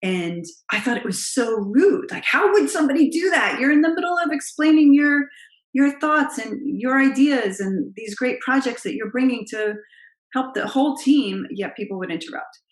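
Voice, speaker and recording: Low-voiced woman coaching in an echoey room. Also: normal speed. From Italian heritage. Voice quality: naturally low voice. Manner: emphatic, expressive coaching delivery. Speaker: confidence coach. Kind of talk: direct-to-camera advice vlog. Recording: roomy, echoey room sound.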